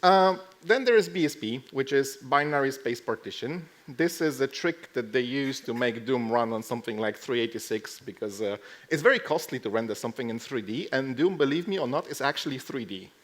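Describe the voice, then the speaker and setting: A man gives an informal technical talk to a live conference audience. His delivery is dry and joking, with deadpan asides dropped between plain explanations. His voice sits at a middle pitch for a man. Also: fairly quick. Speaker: conference speaker. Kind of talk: casual lightning tech talk with live demo.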